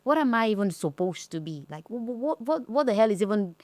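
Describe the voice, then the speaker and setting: A young woman from northern Nigeria hosting a casual podcast chat, speaking thoughtfully and pausing to search for words. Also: medium pitch, big pitch swings, a little mellow, no echo. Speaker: podcast host.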